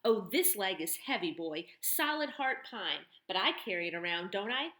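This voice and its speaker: A woman's voice: medium pitch, expressive, normal speed, sharp tone. A woman narrator voicing a fierce, sly one-legged woman who questions a boy sharply and mockingly. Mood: interrogating. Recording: roomy echo.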